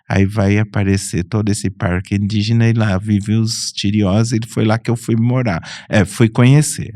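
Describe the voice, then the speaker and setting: Low-voiced Brazilian friar telling his story. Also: a little mellow, no echo, clean studio sound. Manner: unhurried, with frequent restarts and self-corrections. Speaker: Franciscan friar and priest, podcast guest. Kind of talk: relaxed personal storytelling.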